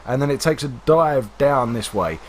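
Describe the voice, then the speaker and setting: A man hosting a game livestream, hoarse and congested from a cold, chatting casually with many fillers. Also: medium pitch, expressive, normal speed, a little hiss or hum. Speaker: livestream host. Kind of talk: casual gameplay commentary.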